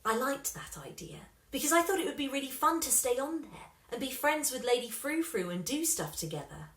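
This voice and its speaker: A woman reading a children's story aloud in an expressive, scripted narrating style. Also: high voice, fairly quick, roomy sound.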